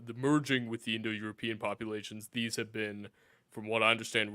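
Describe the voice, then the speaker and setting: Smooth-voiced host man, thoughtful. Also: on the low side, bright, forceful tone, no room sound, clean studio sound. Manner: thoughtful, hedging. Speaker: podcast host. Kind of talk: casual podcast discussion.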